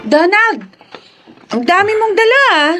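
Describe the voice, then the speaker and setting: A woman's voice: very high voice, big pitch swings, unhurried, loud music behind the voice, heavily acted. An actress playing a supportive, teasing young woman, a playful best friend in a radio drama. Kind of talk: Filipino radio drama acting.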